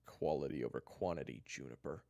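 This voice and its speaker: A man voicing a hot-headed, sarcastic schoolboy with a forceful, confrontational manner in an audiobook-style story. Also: very deep voice, brisk, creaky, no echo. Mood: irritated, defensive.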